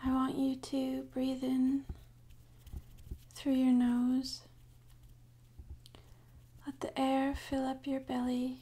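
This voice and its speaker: Woman, ASMR meditation guide, soft half-whisper, mellow tone, very even intonation, soothing, gentle, unhurried. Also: high-pitched, slightly rough. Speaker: ASMR host guiding a sleep meditation.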